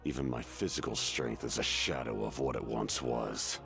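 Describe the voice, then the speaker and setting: An actor playing a weary, proud ancient warrior in a video game, speaking slowly and gravely with a deep, gravelly voice. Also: male voice. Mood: solemn, regretful. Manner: slow, measured, grave. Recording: background music under the voice.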